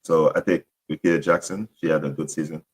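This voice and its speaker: Deep-voiced man, French and Haitian Creole first languages. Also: steady tone, a little fast, breathy edge. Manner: rambling, self-correcting, apologetic. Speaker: podcast host. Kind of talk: casual solo sports talk.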